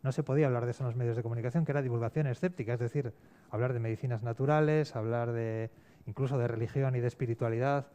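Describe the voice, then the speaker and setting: A man's voice: medium pitch, steady tone, very fast, dark tone. A man with an accent from Spain, a scriptwriter talking discursively in a panel discussion, repeating words for emphasis. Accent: Spanish from Spain. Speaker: fiction scriptwriter and guest panelist.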